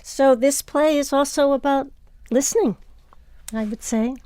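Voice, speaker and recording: A woman host speaking in a measured, deliberate way as she moderates an arts discussion. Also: sits high, mellow.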